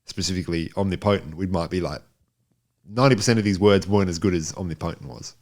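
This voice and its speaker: A man with a low voice co-hosts a film podcast. He talks in casual banter, rambling and jokey, often repeating a phrase to push his point. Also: quick pace, a little mellow. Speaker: podcast co-host.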